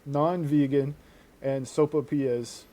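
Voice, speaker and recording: A man's voice: mid-range voice, steady tone, takes it slowly, mellow. A man co-hosting a podcast in an informal, conversational way. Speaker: podcast co-host. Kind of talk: casual chat and reading posts aloud.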